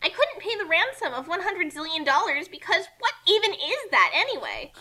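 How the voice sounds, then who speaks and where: Woman voicing loud, high-pitched, indignant young heroine. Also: very animated, normal speed, heavily acted. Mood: demanding, indignant. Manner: shouty, over-the-top, indignant. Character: furious, ditzy daughter of Discord, loud young heroine.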